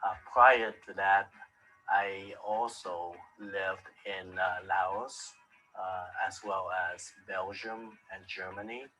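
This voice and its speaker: A man from Vietnam speaking slowly and carefully in a recorded testimonial, with many "uh" pauses. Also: low-pitched, fairly even intonation, sharp tone, low-quality recording. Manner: slow, careful, frequent uhs.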